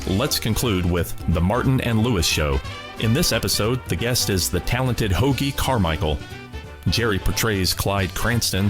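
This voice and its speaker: Deep-voiced man hosting a radio program. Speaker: radio rewind host. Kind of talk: scripted introduction.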